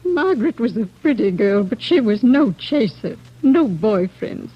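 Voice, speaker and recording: A woman's voice: a little high, very animated, a little slow, husky, full character voice. An American woman acting a shaken, grieving neighbour in a 1940s radio drama, faltering and mournful. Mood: subdued grief. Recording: dull old radio recording.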